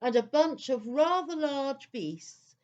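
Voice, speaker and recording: Woman acting patient children's storyteller, slightly high voice, slow, deliberate, expressive, theatrical. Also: muffled recording. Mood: storytelling. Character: patient female storyteller, gently exasperated with her characters. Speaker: narrator.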